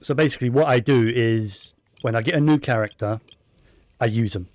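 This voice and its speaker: A man's voice: mid-range voice, normal speed, no room sound. A man giving casual, rambling commentary while playing a video game, with plenty of fillers and restarts. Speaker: gaming streamer.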